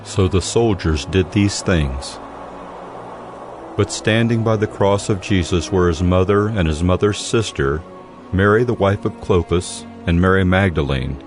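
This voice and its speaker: A man's voice: deep voice, unhurried, music in the background. A man narrating scripture from a script as a solemn dramatized audio Bible storyteller.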